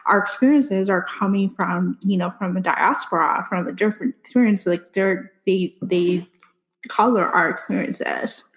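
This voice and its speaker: A woman's voice: medium pitch, normal speed, poor audio. A woman from Puerto Rico hosting a book podcast, asking questions and sharing her own experiences in a casual interview. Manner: friendly, gives frequent quiet affirmations. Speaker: podcast host.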